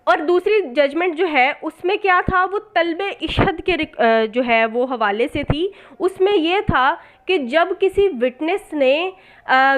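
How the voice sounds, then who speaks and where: Woman, Pakistani accent, very high voice, didactic law lecturer, explanatory, mixes in English terms, checks understanding.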